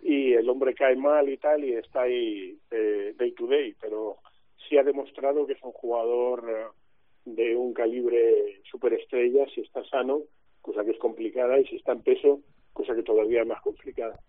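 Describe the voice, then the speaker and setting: A man's voice: mid-range voice, steady tone, normal speed, no room sound. A man discussing basketball casually as an analyst, heard over a telephone. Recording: dry, tinny telephone line.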